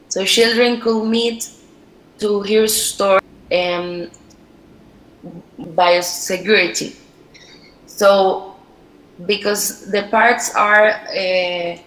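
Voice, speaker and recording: A woman from Panama with a Spanish accent, giving a halting online talk as a storyteller. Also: medium pitch, fairly bright tone, echoey room, rough recording. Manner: slow, halting, many fillers. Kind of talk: online presentation. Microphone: video call.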